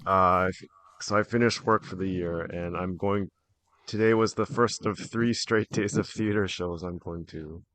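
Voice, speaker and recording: A man with a slightly raspy voice, joking dryly as a podcast guest. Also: sits low, fairly even intonation, moderate pace, a bit bright, slight background noise. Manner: dry, joking.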